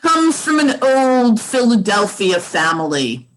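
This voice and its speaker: A woman as a slow, imperious old grand dame. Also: a little high, big pitch swings, a bit bright, a little hiss or hum, exaggerated voice, low-quality recording. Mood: grand, reminiscing. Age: older. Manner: haughty, drawn-out, commanding delivery. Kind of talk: radio play acting. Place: remote home recording over video call. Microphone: video call.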